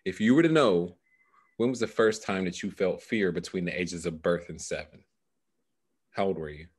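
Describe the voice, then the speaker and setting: A man with a low voice speaks as a coach. His delivery is measured and instructive, as in a guided meditation, recorded on a headset during a video call. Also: husky. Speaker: coach guiding a client through a meditation process. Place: small indoor room, video call. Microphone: headset microphone.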